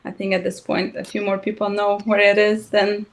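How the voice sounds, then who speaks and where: A woman's voice: mid-range voice, monotone, moderate pace. Woman from Moldova, Romanian native. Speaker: co-host and family therapist.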